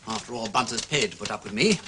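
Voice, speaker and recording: An actor playing a breezy, talkative aristocratic gentleman sleuth in a radio drama, with an upper-class English accent and an effusive manner. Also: on the low side, expressive, fast talker, background noise, close to an everyday voice. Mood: chatty, probing. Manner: effusive, affectedly jolly and chatty.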